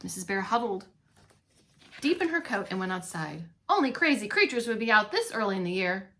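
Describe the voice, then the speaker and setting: A woman reading a storybook aloud to young children as a teacher, expressively, pausing to comment and ask questions. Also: medium pitch, very animated, fairly bright tone, slight background noise. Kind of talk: animated read-along storytelling for young children.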